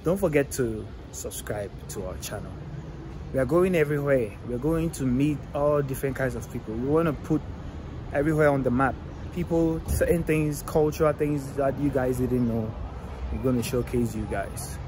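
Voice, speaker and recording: A man's voice: mid-range voice, normal speed. A young man talking casually to viewers while walking a town street, filming himself on a phone at arm's length and often asking them to subscribe. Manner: chatty, repeats shout-outs and asks viewers to subscribe. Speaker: travel vlogger. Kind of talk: casual walking-tour vlog. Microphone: phone camera held at arm's length. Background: traffic and street noise.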